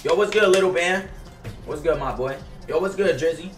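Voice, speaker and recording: A man's voice: fairly high pitch, normal speed. A young man livestreaming to his chat, brash and combative, with heavy slang and swearing. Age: young adult. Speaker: gaming livestreamer. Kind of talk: casual livestream chat to viewers. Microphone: gaming headset. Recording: roomy, distant sound under loud music. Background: loud hip hop music.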